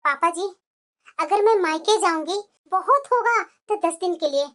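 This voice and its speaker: An extremely high, girlish cartoon voice acted by a woman for a newlywed daughter-in-law. She is polite and quietly scheming, reasoning things out respectfully. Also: animated, measured pace, exaggerated voice. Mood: calm persuasion. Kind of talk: Hindi moral-story cartoon acting.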